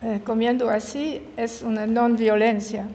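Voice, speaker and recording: Older swiss lady w/ french accent, talks real slow, keeps stopping to find words, kinda high voice, echoey hall. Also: flat delivery, dark tone, highs cut off. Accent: French-speaking Swiss. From Switzerland. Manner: halting, searches for words, corrects herself mid-word. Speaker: guest speaker. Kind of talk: informal public talk. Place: meeting hall in an old house. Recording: reverberant hall with faint room noise.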